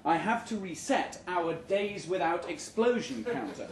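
Deadpan man reading with animated pitch, roomy venue. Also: a little slow. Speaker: reader of a short story at a live event. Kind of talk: live dramatic short story reading. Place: indoor room at a live literary event. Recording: roomy live venue recording with background noise.

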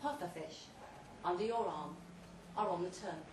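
A woman's voice: fairly low pitch, normal speed. Distant, echoey woman reading a story aloud. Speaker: reader of a short story at a live event. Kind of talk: dramatic literary reading, scripted second-person narration. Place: indoor pub event, before an audience. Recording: roomy live pub recording, distant mic, faint noise.

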